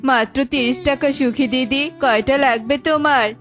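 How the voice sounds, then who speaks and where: A woman's voice: high voice, steady tone, normal speed, a bit bright, soft background music. A woman voicing an honest, friendly little bird peddler in a children's cartoon, polite and obliging. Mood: cheerful selling. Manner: polite, obliging, calling out wares.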